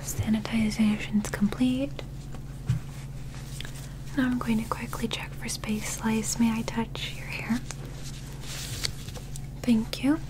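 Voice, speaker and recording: Softly whispering young woman, slow and gentle. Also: a little high, flat delivery, rough recording. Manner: gentle, slow, methodical, reassuring. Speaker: ASMR performer playing an alien medical examiner. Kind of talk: soft whispered ASMR roleplay.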